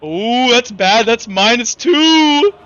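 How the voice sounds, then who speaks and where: A man's voice: very high voice, animated, slow, fairly bright tone, lo-fi sound. A man acting as game master in a tabletop roleplaying game, talking casually and swearing freely. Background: background music.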